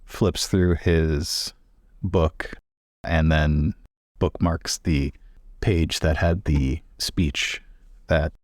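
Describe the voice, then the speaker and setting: Very deep-voiced man playing a tabletop game. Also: fairly even intonation, extremely slow, darkish tone, dry recording, studio quality. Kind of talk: casual narration of his character's actions.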